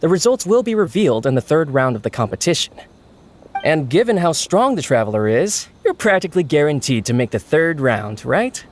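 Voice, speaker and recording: An actor playing an earnest, dutiful event organizer in an anime game, speaking politely and formally. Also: male voice, a little high, big pitch swings, fairly quick, background noise. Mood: upbeat.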